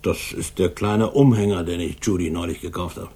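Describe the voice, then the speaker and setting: An actor playing a tough, terse middle-aged ex-boxer who owns a hotel, husky and blunt, in a 1960s German radio crime drama. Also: male voice, deep voice, normal speed, dark tone, slight background noise. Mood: stern, probing.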